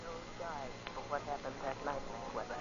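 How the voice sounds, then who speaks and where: An actress playing a grieving, vengeful young widow in a 1940s radio drama, speaking with slow, deliberate intensity. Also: female voice, a bass voice, heavy background noise. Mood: menacing. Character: grieving, vengeful young widow confronting her husband's betrayer. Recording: muffled old radio recording with music.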